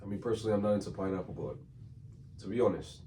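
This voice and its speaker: A man hosting a casual podcast, hoarse from a cold, loose and slangy, repeating himself as he talks. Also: low-pitched, rapid-fire, warm tone. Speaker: podcast host. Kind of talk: casual chat. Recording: distant mic with faint room noise.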